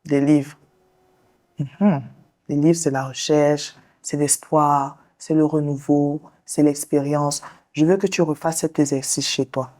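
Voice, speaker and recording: A woman therapist hosting the show, leading a guest through a slow guided visualization and counseling talk in a soothing, directive way. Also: sits low, fairly even intonation, mellow. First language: English. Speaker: sex therapist and show host.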